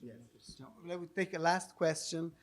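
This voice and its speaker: A man's voice: on the high side, moderate pace. Man moderating a public panel. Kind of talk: spontaneous panel answers and spoken translation. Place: live public event with audience questions.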